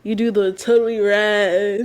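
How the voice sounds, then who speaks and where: A woman in her twenties chatting casually with her partner, telling stories in slangy, animated talk with frequent laughs. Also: mid-range voice, a little slow, slight background noise. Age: 20s. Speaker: co-host. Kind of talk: casual couple chat.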